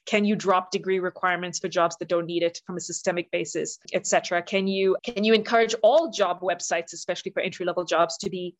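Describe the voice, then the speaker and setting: A woman's voice: on the low side, steady tone, quick pace, hardly any pauses. A middle-aged woman from southern India giving earnest, articulate, explanatory answers as an interview guest, stressing her key points. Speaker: interview guest, youth employment leader.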